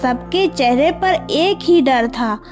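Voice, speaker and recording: A woman reading a scripted moral story for a cartoon in a measured storytelling delivery. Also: high-pitched, expressive, darkish tone, soft background music. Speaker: story narrator.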